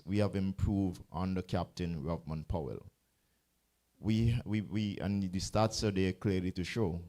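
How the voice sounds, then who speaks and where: A man's voice: deep voice, darkish tone. A man serving as a cricket board president, giving earnest formal closing remarks and repeating words as he searches for them.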